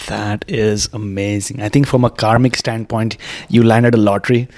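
A man's voice: fairly low pitch, steady tone, moderate pace, a little mellow, a little hiss or hum. A man hosting an interview podcast, effusive in his wording and often tagging his sentences with "right?". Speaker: podcast host. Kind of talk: podcast interview with scripted introduction and casual chat. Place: quiet indoor room at a wellness space.

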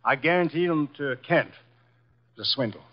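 An actor playing a surly, evasive small-time swindler in a 1950s radio drama, curt, slangy and defensive. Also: male voice, a little high, expressive, moderate pace, natural voice. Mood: rattled, grudging. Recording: dull vintage 1950s radio recording.

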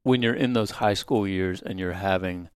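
Relaxed low-voiced male podcast host. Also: moderate pace, faint music behind. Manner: relaxed, asks questions with loose filler phrases. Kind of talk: casual interview chat.

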